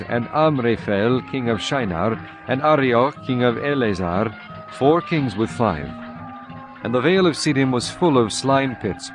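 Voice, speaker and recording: A man reading scripture aloud as a narrator, slow, measured and solemn. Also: medium pitch.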